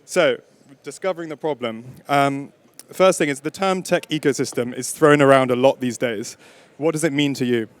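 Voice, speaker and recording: A man runs a panel discussion in a smooth, resonant voice. He hesitates often, with fillers and restarts. The recording is dry and close. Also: mid-range voice, normal speed, no echo. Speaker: panel moderator.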